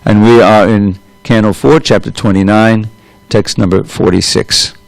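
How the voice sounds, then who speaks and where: A man leading a devotional scripture class, reciting opening prayers slowly and in a measured way into a room microphone in a temple. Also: on the low side, steady tone, gravelly, no room sound, poor audio. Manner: loud voice. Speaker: class speaker reading scripture. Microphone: room microphone with adjustable volume.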